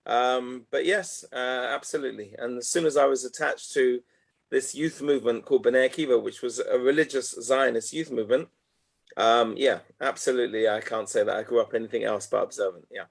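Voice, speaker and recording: A man in his fifties talks as an interview guest, mid-pitched and unhurried in his thinking. He mulls things over aloud, circling back and dropping in little "okay" asides as he goes. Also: steady tone, creaky. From North London, England. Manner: reflective, loose phrasing with frequent "okay" asides. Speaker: guest, educational tour organizer. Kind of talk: casual interview answers.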